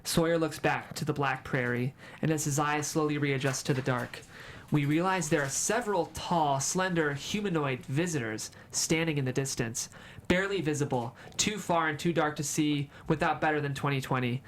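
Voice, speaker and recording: Mid-pitched narrator reading screenplay directions. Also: normal speed, a little hiss or hum. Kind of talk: reading stage directions at a table read.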